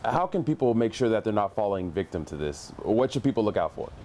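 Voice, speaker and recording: A man's voice: on the low side, big pitch swings, fast talker, mellow, a little hiss or hum. A man asking questions as a reporter in a field interview.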